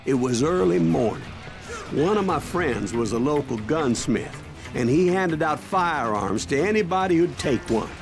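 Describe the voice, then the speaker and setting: Higher-voiced folksy Western townsman. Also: lively intonation, measured pace, vocal fry. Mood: earnest recounting. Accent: American. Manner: folksy, plainspoken storyteller. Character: proud folksy Western townsman recalling a gunfight. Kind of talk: scripted in-character narration. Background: music.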